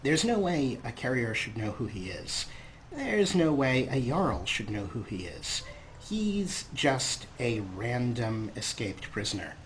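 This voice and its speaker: A man's voice: medium pitch, big pitch swings, takes it slowly, a little hiss or hum, poor audio. A man giving casual, explanatory let's play commentary in a chatty manner as the host of a gaming video.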